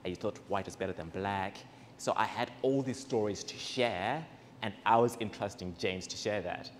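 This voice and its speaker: Young guy from zimbabwe on a handheld mic, low voice, earnest and personal, hall echo with a bit of noise. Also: normal speed. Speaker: event host. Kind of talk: speech to a live audience. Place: large indoor event space with rows of seats. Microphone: handheld microphone. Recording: reverberant hall, faint background noise.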